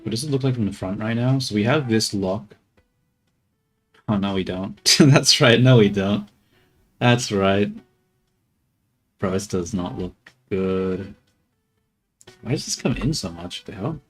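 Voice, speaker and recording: A man's voice: lowish voice, measured pace, pauses often, slightly rough, faint music behind. A man streaming as he models a 3D character, talking to himself and his chat in a joking, muttering way. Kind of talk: casual live commentary while working.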